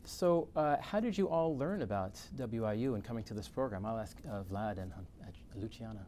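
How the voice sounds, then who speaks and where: A man's voice: lowish voice, animated, normal speed, dark tone, slight background noise. A man hosting an interview as a music professor. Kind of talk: hosted interview.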